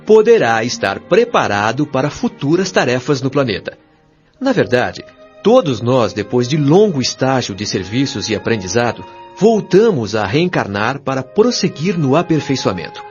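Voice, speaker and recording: Man voicing wise spirit mentor, Brazilian accent, audio drama, lively intonation, didactic, solemn, articulate, muffled recording, soft music behind. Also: mid-range voice, moderate pace, natural voice. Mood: calm, authoritative. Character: patient, authoritative male spirit guide and mentor. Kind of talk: audio drama acting, expository dialogue. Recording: dull lo-fi audio over music.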